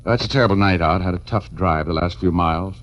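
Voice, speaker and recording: A man's voice: low-pitched, fast, a little hiss or hum, natural voice. An actor playing a dogged, wry, tough male private investigator in 1940s radio style, insistent but casual. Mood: insistent, probing. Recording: muffled old 1940s radio recording.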